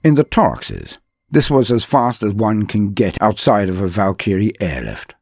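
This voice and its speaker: A man narrating a war fantasy tale in a solemn, theatrical way, with weighty pauses and a husky voice. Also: on the low side, very animated, darkish tone, dry recording, clean studio sound. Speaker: storyteller, channel narrator.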